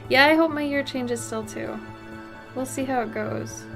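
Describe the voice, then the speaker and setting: Chatty thirty-something woman streamer, bright pitch. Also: a little slow, vocal fry, music in the background. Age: 30s. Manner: chatty, reads and answers chat, frank and loose. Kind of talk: casual livestream chat while painting.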